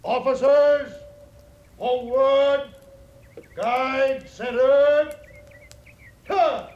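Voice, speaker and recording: Army officer yelling drill orders real slow n stretched out, dull echoey old radio recording. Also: male voice, very high-pitched, fairly even intonation, heavily acted. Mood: commanding. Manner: drawn-out military shouting. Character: cavalry parade officer bellowing drill commands. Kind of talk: 1950s radio drama acting.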